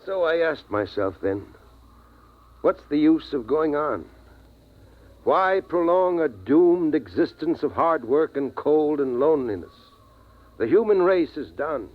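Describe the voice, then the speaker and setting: An actor playing a steadfast, philosophical middle-aged father, speaking in an earnest, measured, dramatic 1950s radio style. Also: on the high side, big pitch swings. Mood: resolute, reflective. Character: steadfast, philosophical father, last man guarding his family. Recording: dull vintage radio recording.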